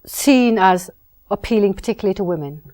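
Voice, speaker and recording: Woman, novelist being interviewed, measured and reflective, mid-range voice. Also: medium pitch, expressive, darkish tone. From Britain. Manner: measured, reflective, with frequent restarts. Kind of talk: thoughtful interview answers.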